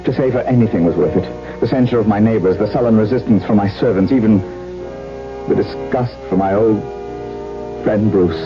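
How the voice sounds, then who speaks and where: A man's voice: fairly low pitch, normal speed, close to an everyday voice. An actor playing a tormented, obsessed nobleman, telling his tale theatrically in a 1970s radio drama. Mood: haunted, brooding. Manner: theatrical, brooding, impassioned. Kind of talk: 1970s radio drama acting, first-person narration. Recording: dull vintage AM radio recording, music behind.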